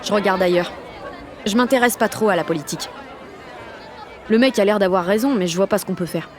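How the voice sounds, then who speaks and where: A woman's voice: medium pitch, brisk, a crowd in the background, background noise, lightly acted. An actress playing a sullen sixteen-year-old French village girl, narrating an audio drama in blunt, sardonic slang full of swearing. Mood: detached, sardonic. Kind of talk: first-person audio fiction narration in teen slang.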